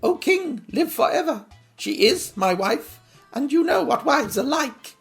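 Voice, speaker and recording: A man's voice: very high voice, big pitch swings, measured pace, gravelly, faint music behind, voice pushed up, exaggerated voice. A man voicing a boastful, self-important little male butterfly in a storybook reading.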